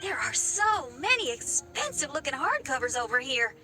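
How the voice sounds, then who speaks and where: A woman's voice: high-pitched, big pitch swings, moderate pace, sharp tone, faint music behind, full character voice. A woman voicing a cheerful, curious young girl in an anime game.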